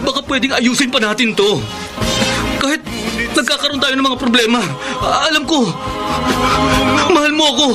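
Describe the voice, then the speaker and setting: Casting: anguished young Filipino boyfriend for radio drama, high emotional voice, loud music bed. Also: male voice, moderate pace, pauses often. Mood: desperate pleading. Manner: emotional, pleading delivery. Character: desperate young boyfriend pleading to save his relationship.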